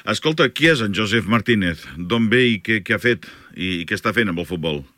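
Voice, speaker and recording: A man with a very low voice hosts a local radio chat and asks quick conversational follow-up questions. His sound is close and dry, with no room echo. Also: lively intonation, bright, forceful tone. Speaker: radio host. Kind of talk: casual radio interview.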